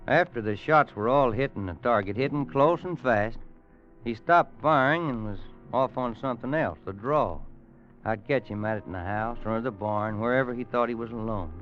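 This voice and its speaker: A man's voice: mid-range voice, moderate pace, close to an everyday voice. An actor playing a weary, worried Western man telling a grim tale in a folksy American radio drama style. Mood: grave, haunted. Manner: folksy, grave dramatic storytelling. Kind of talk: 1950s radio drama acting. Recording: muffled old radio recording with music.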